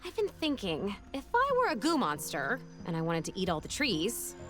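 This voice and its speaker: A woman voicing a curious, plucky young heroine in an animated video game, chatty and wry with some self-doubt. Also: mid-range voice, normal speed, soft background music. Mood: teasing.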